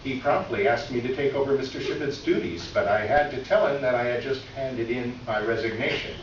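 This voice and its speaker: A man's voice: medium pitch, a little fast, lightly acted. A man playing a genial, courteous older store clerk reading out a grateful letter in a staged performance. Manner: genial, chuckling storyteller, courteous. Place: echoey indoor room, recorded from afar. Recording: very echoey room, distant muffled mic, faint noise.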